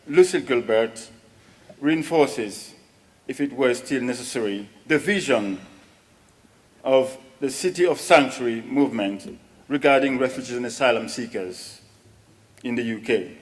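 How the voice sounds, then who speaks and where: A middle-aged man from West Africa giving a measured, formal speech from notes at a lectern. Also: mid-range voice. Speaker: speaker at a parliament event. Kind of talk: formal prepared speech, bilingual. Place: large hall with long reverb. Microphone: lectern mic. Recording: long hall reverb.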